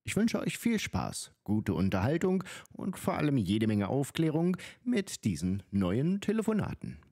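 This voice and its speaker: A man's voice: mid-range voice, huge pitch swings, unhurried, mellow. A man speaking as a channel host, introducing a video to the audience.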